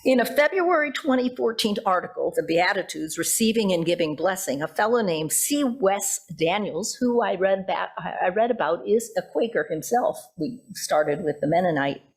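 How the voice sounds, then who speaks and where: Husky-voiced woman pastor preaching a sermon. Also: mid-range voice, animated, moderate pace, few pauses. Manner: reflective, explanatory, poses rhetorical questions. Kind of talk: sermon, reading from notes with spoken asides. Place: church service.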